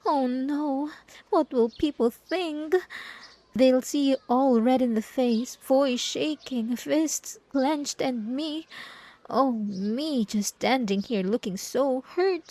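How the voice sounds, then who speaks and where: A woman's voice: high-pitched, warm tone. A woman playing an obsessive, gaslighting ex-girlfriend in an ASMR roleplay, coaxing with condescending, cloying sweetness. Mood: mock hurt. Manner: coaxing, cooing, condescending pet names.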